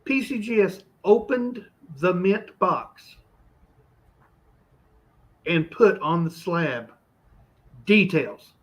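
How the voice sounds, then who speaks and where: A man hosting a relaxed online chat, his voice sitting a little high. He talks in quick, animated bursts. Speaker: livestream host. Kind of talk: casual chat about coins.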